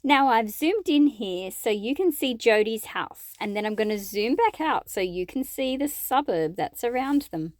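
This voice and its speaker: A woman reads a storybook aloud at an unhurried pace, her fairly high voice rising and falling with lots of animation. She phrases it expressively for young listeners and explains as she goes. The sound is close and dry. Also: animated, a little mellow, dry recording. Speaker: children's picture book read-aloud host.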